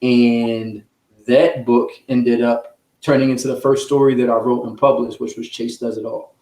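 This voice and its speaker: A man's voice: medium pitch, little pitch movement, normal speed, a little mellow. Echoey recording of a man being interviewed. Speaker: guest, children's author and sports journalist. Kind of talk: conversational interview answers. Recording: echoey room sound.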